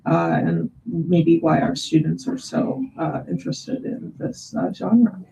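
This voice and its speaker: A woman hosting a webinar, putting questions to a guest with hesitant, halting phrasing over a dull video call microphone. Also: on the low side, animated, vocal fry, warm tone, a little hiss or hum. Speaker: host, English teacher. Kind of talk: webinar interviewing, reading out audience questions.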